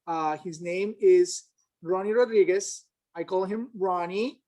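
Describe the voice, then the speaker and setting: A woman hosting an event, making announcements and introducing a guest in a halting, pausing way. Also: fairly low pitch, mellow. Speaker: event host.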